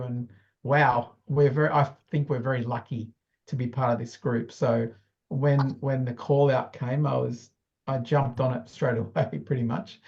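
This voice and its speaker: A man's voice: medium pitch, muffled recording. A man introducing himself casually to a group as a dentist joining a course.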